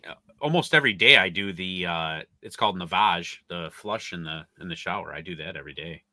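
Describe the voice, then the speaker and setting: A man's voice: deep voice, fairly quick, sharp tone, no echo. A man co-hosting a casual sports podcast, easygoing and hesitant, filling with "uh". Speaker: podcast co-host.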